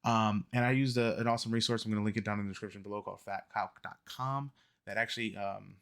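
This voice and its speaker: A man in his early thirties talking casually to camera as a vlogger, rambling with many ums and quick self-corrections. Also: lowish voice, steady tone, creaky, a bit bright. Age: 30s. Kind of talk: casual fitness vlog talk.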